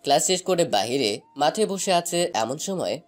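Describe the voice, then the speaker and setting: A man's voice: a little high, lively intonation, normal speed, soft background music, lightly acted. A man playing a shy young college student, narrating a romantic story in the first person.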